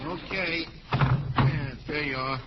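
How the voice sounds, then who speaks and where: A man's voice: a little high, slow. A man playing a plain-spoken, matter-of-fact delivery man in a 1940s radio comedy. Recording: dull, noisy old-time radio recording.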